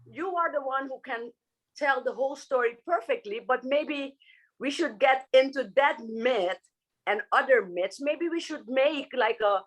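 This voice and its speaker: Fairly high-voiced woman, searching for words. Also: measured pace, rough recording. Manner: hesitant, searching for words, many restarts. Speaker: guest psychotherapist. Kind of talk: casual spiritual conversation.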